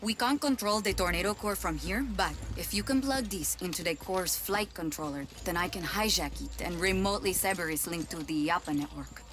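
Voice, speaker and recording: Casting: female actor as a calm, wry scientist, lower voice, score behind. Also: brisk, few pauses, lightly acted. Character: capable female scientist ally, dry. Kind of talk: video game cutscene acting. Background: game music.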